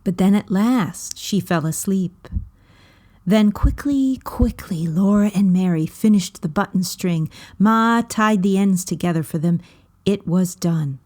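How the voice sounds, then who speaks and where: A woman reading a children's story aloud as scripted narration, in measured, deliberate phrases with frequent pauses. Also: mid-range voice, a little mellow.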